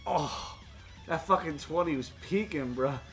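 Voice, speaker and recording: A man's voice: a little high, a little slow, a bit bright. A man running a tabletop role-playing game as game master, talking and narrating in an informal, sweary way. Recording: music playing behind the voice.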